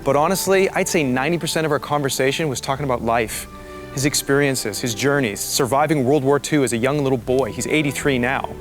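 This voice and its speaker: A man's voice: mid-range voice, brisk, faint music behind. A man with a Canadian accent hosting a podcast, chatting in a friendly, enthusiastic and affirming way. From Canada, west coast. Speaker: podcast host. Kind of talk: friendly casual interview chat.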